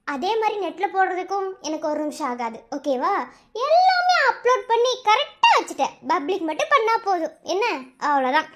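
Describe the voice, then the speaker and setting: A man's voice: very high voice, wildly swooping pitch, moderate pace, bright, forceful tone, full character voice. A man playing a spurned, vengeful young student in a melodrama, taunting and menacing with sneering questions. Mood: mocking threat. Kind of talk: melodramatic audio drama villain acting.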